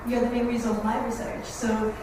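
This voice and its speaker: A woman with a Brazilian accent addresses a room of families, earnest and a little nervous. Her voice sits a touch high. She is picked up from far across a very echoey room with steady background noise. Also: a little fast. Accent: Brazilian Portuguese. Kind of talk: talk to a support group. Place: meeting room, recorded from far off.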